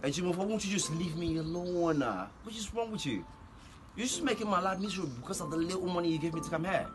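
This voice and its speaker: An actor as high-voiced, exasperated man. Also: animated, quick pace, music in the background. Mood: irritated. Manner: emphatic, repetitive, impatient. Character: exasperated young Nigerian man abroad, dismissive ex-boyfriend. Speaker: actor in a comic relationship drama. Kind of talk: dramatised phone-call dialogue.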